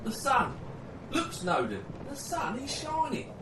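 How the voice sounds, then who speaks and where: A man's voice: extremely high pitch, wildly swooping pitch, measured pace, heavily acted. A British man playing an earnest, hopeful young soldier of the First World War in a radio play. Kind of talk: dramatic dialogue in an audio play. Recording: roomy, distant, clearly noisy recording.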